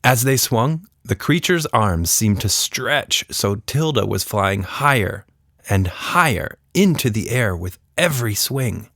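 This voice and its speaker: A man's voice: lowish voice, expressive, slow, gravelly, a bit bright, no room sound. A man narrating a scripted children's story as the storyteller.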